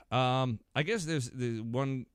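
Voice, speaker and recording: A man's voice: mid-range voice, takes it slowly, clear, resonant tone, no echo, studio quality. A middle-aged American man hosting a podcast in a casual, rambling, self-deprecating way. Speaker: podcast host. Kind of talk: casual chat.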